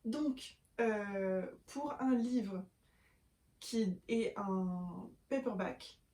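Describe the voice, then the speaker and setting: A woman's voice: mid-range voice, drawn-out words, warm tone. A young woman talking casually to camera about her reading, with many fillers and self-corrections as she shows books. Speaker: book vlogger. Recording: echoey room.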